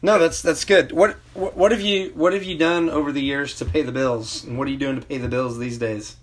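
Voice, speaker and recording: A man's voice: a little high, fairly even intonation, brisk. A man interviewing a guest casually, asking loose questions with restarts. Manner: informal, loose questioning with repeated starts.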